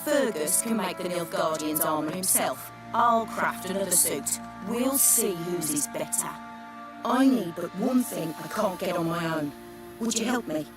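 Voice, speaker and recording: A woman's voice: fairly low pitch, animated, unhurried. An actress playing a proud, resentful craftswoman in a fantasy game, speaking bluntly and assertively. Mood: determined. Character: proud, blunt, resentful woman armourer, determined to prove herself. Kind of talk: dramatic game dialogue. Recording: game dialogue with music behind.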